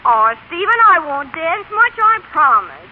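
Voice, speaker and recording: An actress playing a restless, brassy young working-class wife who pleads and argues in slang, in 1930s radio drama style. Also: female voice, very high voice, very animated, unhurried, heavily acted. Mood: excited, pleading. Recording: noisy, tinny 1930s radio broadcast.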